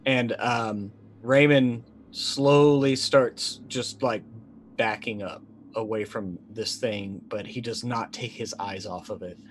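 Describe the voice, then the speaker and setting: A man's voice: fairly low pitch, steady tone, takes it slowly, a little hiss or hum. A man in his thirties improvising as a player in a tabletop roleplaying game, speaking in hesitant, broken phrases. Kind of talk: improvised in-character roleplay and narration.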